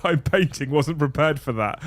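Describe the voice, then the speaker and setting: A man's voice: fairly high pitch, fairly bright tone. A man, hosting a casual livestream, bantering, swearing and laughing. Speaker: livestream host.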